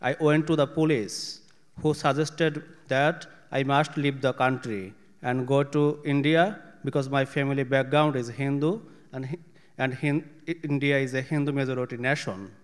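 A man in his thirties from Bangladesh, a panelist reading a prepared personal statement slowly and carefully, with some halting restarts, on a theater stage. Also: medium pitch, steady tone. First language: Bengali. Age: 30s. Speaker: panelist, exiled writer. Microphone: stand microphone at a panel. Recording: reverberant hall from a distance.

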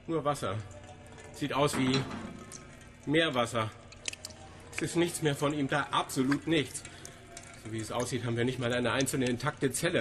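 A man's voice: mid-range voice, close to an everyday voice. An actor playing a flustered, brusque male scientist in a German radio drama, agitated and curt. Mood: dismayed.

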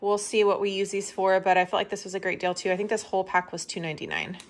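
A woman's voice: mid-range voice, lively intonation, fast. Chatty woman narrating a shopping haul. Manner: chatty, upbeat, rambling with fillers. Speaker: vlogger. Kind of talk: casual haul voice-over. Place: home, washing machines running.

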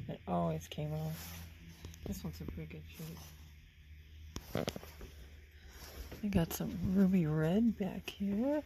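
Hoarse, gravelly woman vlogging on a phone, half-whispering low-key remarks over a noisy shop floor. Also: dark tone. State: hoarse from the flu, losing her voice. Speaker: vlogger browsing an antique mall. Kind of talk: casual running commentary while shopping. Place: large indoor antique mall in a former bowling alley. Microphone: handheld phone camera. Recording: noisy shop ambience behind phone audio.

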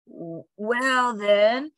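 A woman's voice: a little high, very slow, fairly bright tone, dry recording. A woman voicing a laid-back, nap-loving young male dog in a children's storybook, talking in slangy, drawling dude-speak. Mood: sleepy, reluctant.

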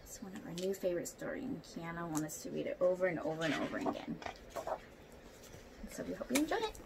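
Animated young woman reading to children. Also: on the low side, quick pace, vocal fry, very noisy. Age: young adult. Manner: expressive, asks child listeners questions. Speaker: storyteller for children. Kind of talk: expressive picture-book read-aloud. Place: small room at home, indoors.

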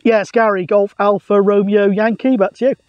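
A man's voice: high voice, little pitch movement, normal speed, dull audio, slight background noise. A British man chatting casually and matily outdoors, as a radio hobbyist making a video. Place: outdoors on an open hilltop.

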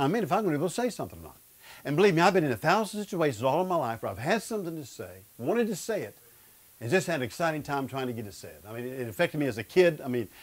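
A man in his fifties who stutters, giving a humorous sermon from a studio stage with a lapel mic. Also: on the high side. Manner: stutters, jokes about it. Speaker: speaker of a men's Bible study. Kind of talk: humorous sermon to a live audience. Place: studio stage before a seated audience, indoors.

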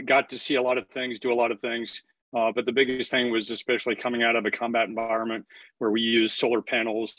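A man's voice: medium pitch, fairly bright tone, no room sound, low-quality recording. A man in his thirties, an energy efficiency expert, speaking steadily and directly on a video call.

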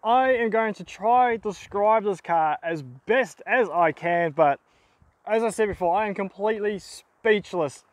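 Young Aussie host, high bright voice, excitable and exclaiming, filmed outdoors in open bush. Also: male voice, measured pace, no room sound. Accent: Australian. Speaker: car wreck hunter, channel host. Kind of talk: enthusiastic outdoor vlog. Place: outdoors in open dry bushland.

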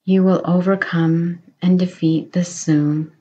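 Very slow, low-voiced woman meditation guide. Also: steady tone, muffled recording. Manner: slow, soothing, gentle reassurance.

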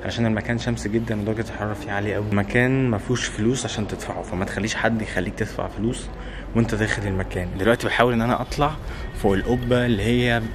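A man's voice: on the low side, steady tone, very fast, background noise. A man in his twenties with an Egyptian accent, chatting casually and emphatically to camera as a travel vlogger, often repeating himself. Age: 20s. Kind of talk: casual vlog chat to camera. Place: old stone mosque, arcades and open rooftop. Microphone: camera held at arm's length.

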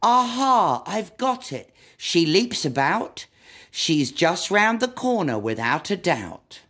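A woman's voice: on the low side, very animated, slow, fairly bright tone, exaggerated voice. A woman reading a storybook aloud as a helpful, confident but muddled butterfly guide. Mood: eager.

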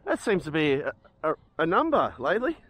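Chuckling Australian fishing vlogger, lively pitch. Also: male voice, a little fast, dry recording. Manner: cheerful, chuckles often. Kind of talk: casual running commentary while fishing. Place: outdoors on a sandy beach by the water. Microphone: head-mounted action camera.

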